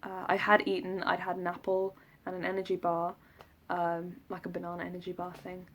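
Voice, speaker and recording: Young southern English woman, low-pitched vlog chat. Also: monotone, fairly quick, fairly bright tone. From south of England. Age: 20s. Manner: self-deprecating, jokey. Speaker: vlogger recounting an audition. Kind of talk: casual rambling vlog chat.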